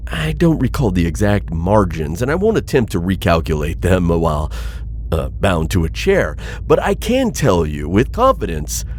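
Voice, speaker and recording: Man voicing insectoid bounty hunter, flustered, formal, pleading, highly animated, sci-fi audio roleplay, faint background noise. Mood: grave, anxious. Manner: flustered, hesitant, formal and wordy. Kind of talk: scripted audio roleplay addressed to listener.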